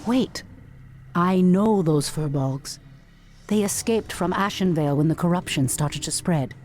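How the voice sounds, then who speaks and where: An actress playing a proud, resolute night elf warrior priestess in a fantasy game, speaking formally and firmly. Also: female voice, fairly low pitch, measured pace, close to an everyday voice. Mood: dismayed. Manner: formal, earnest, firm dramatic delivery. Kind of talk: dramatic fantasy game acting. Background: loud music.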